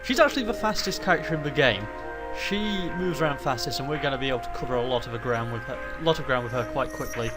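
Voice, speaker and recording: A man's voice: mid-range voice, fast, few pauses. Man with a cold, rambling over music. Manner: rambling, hesitant, self-correcting. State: has a cold. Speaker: let's play host. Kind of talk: casual gameplay commentary.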